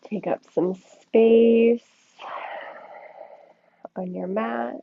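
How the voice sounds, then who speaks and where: A woman leading a yoga class with slow, soothing guided instruction, speaking in short phrases with long pauses. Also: fairly high pitch, fairly even intonation, gravelly, breathy edge, no echo. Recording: thin, phone-like band-limited sound, dry.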